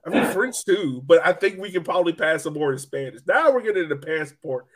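A man's voice: a little high, animated, fairly quick, a bit bright. A man hosting a sports podcast, chatting casually in a joking, playful way. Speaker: podcast host.

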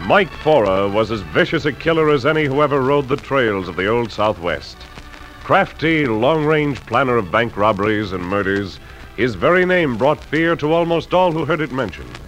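Male announcer, dramatic old-time radio narration, animated medium-pitched voice, faint music. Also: moderate pace, poor audio.

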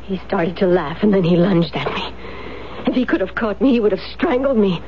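A woman's voice: medium pitch, expressive, a little fast, lightly acted. An actress playing a cold, commanding, scheming woman in a 1970s radio drama, with a clipped, theatrical delivery. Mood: shaken, terse. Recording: dull 1970s radio recording, faint noise.

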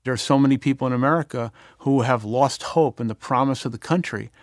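A man's voice: medium pitch, brisk, no echo. A middle-aged man speaking earnestly as an interview guest, with some fillers. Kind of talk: reflective conversation.

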